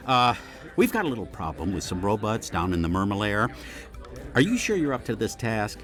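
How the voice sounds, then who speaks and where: A man voicing a weary, irritable elderly retired superhero sidekick in a cartoon game, grumbling and deadpan. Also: lowish voice, a little fast, faint music behind. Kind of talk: cartoon video game voice acting.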